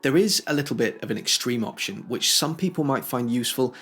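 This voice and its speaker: A man's voice: medium pitch, expressive, a little fast, fairly bright tone, breathy edge, soft background music. A man hosting a tech tutorial, explaining things step by step in a conversational way. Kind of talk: instructional how-to narration for video.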